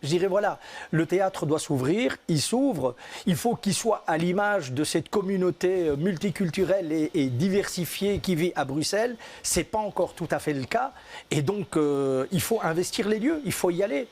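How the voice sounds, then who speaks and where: High-pitched earnest man. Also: expressive, normal speed, darkish tone. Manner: earnest, emphatic, repeats key points. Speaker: theatre director and actor. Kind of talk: studio interview. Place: TV studio.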